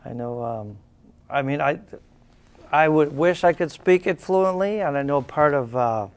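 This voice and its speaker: A man hosting a talk show interview, speaking haltingly with many uhs and restarts. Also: fairly high pitch, animated. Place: cable TV studio.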